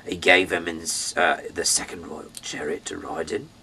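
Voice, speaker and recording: A man with a very low, bright-edged voice reads scripture aloud. His delivery is theatrical and halting, moving between emphatic bursts and breathy hushed phrases. There is faint noise behind him. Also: fairly even intonation. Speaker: Bible reader, amateur narrator. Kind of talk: dramatic, off-the-cuff reading aloud of scripture.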